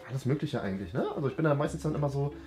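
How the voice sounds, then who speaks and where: A man's voice: medium pitch, expressive, racing, darkish tone, faint music behind. A young adult man making improvised, awkward date conversation in a small studio. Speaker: performer in a comedy dating video. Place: small indoor studio set.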